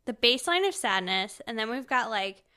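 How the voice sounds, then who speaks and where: A woman's voice: fairly high pitch, very animated, fairly quick, bright, forceful tone. An American woman co-hosting a book podcast, talking informally and full of exclamations. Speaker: podcast co-host. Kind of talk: casual book-club podcast chat.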